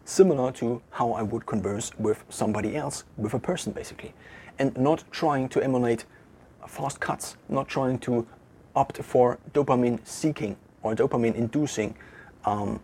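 A German-accented man talks alone to a camera in an empty room, working through his thoughts in a loose, conversational way. His voice is strongly husky, and he often stops mid-phrase to restart or correct himself. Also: medium pitch, darkish tone. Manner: thinking aloud, halting with restarts and self-corrections. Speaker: vlogger. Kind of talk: casual reflective monologue to camera.

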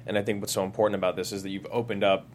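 A man's voice: fairly low pitch, level tone, rapid-fire. A man chatting casually as a panelist in a group discussion. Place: indoor studio panel. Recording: clear room noise.

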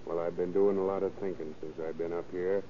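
An actor playing a cocky, hardened convict who boasts in tough slang in a 1930s radio crime drama. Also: male voice, deep voice, little pitch movement, fairly quick, mellow, close to an everyday voice. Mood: cocky, confiding. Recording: muffled, noisy old radio recording.

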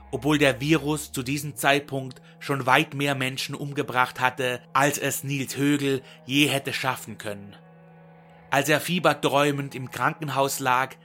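A man's voice: mid-range voice, bright, forceful tone. A man delivering dramatic literary narration in a solemn, mock-epic manner. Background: music.

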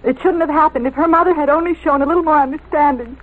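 An actress playing a sympathetic, world-weary newspaper reporter in an old-time radio drama, gentle and halting. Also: female voice, high voice, natural voice. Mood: bitter, upset. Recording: tinny, slightly hissy old radio.

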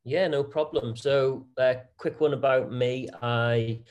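A man talks through his property business as an interview guest. His speech is chatty and relaxed, with frequent fillers and restarts as he explains things, and his voice sits at a middle pitch for a man. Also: takes it slowly. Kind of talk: conversational interview answers.

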